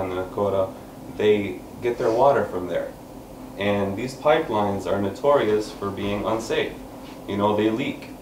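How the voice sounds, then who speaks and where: Guy at an open mic talking low and earnest, kinda far from the camera, roomy and noisy. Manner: earnest, gesturing while talking, many pauses. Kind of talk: spoken word poetry and explanation. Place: small indoor room, seated on a couch with an audience. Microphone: far camera, no mic seen. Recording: echoey room, camera from far off, background noise.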